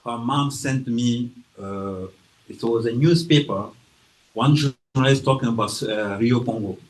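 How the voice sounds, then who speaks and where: A man's voice: mid-range voice, low-quality recording. Man from Guinea with a French accent. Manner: slow, careful delivery with hesitations and acted-out quotes. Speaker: author presenting his book. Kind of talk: informal talk to an audience. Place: library event room.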